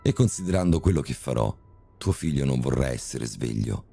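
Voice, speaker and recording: A man playing a cold, calm, sadistic male surgeon who speaks with polite, mocking menace in a horror story reading. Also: extremely low pitch, moderate pace, creaky, husky, faint music behind, full character voice. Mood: icy calm.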